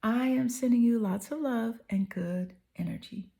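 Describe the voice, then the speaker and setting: A woman tarot reader with a hoarse voice, giving an affirming reading straight to her viewers and often checking in with "right?". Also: fairly high pitch, moderate pace, warm tone. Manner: soothing, affirming, repeats "right?".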